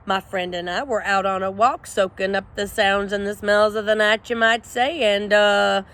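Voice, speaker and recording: A woman's voice: medium pitch, fairly even intonation, normal speed, a bit bright, lightly acted. Hank the Cowdog, a woman playing a boastful ranch dog. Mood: blustering. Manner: pompous, rambling, full of bluster. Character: boastful, self-assured male ranch dog.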